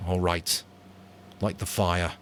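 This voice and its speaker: A man voicing a sullen, stubborn boy chief with a low mutter, in a dramatic audiobook reading. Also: little pitch movement, slow, a bit bright, slight background noise.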